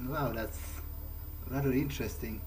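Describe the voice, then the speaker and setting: A man's voice: mid-range voice, moderate pace, noisy background. A man hosting a let's play, speaking conversationally in character in a hesitant, musing way. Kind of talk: in-character gameplay roleplay, conversational. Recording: faint game music behind. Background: game soundtrack.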